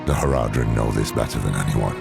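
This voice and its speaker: An actor playing a grave, world-weary narrator of a dark fantasy game, solemn and measured, with a gravelly voice. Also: male voice, exaggerated voice. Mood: grave, brooding. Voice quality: very deep, gravelly. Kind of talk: scripted dramatic narration. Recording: loud music under the voice.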